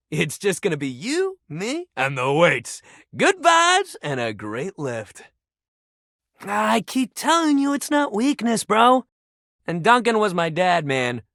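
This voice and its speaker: Hugely animated, upbeat jock bro. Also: male voice, high-pitched, a little slow, no room sound, clean studio sound, exaggerated voice. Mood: excited, encouraging, then mildly exasperated. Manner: bro-ish, casual slang, hype-man energy. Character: upbeat, friendly jock sports-equipment guy, hyping up a newcomer. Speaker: video game character. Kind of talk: animated video game voice acting.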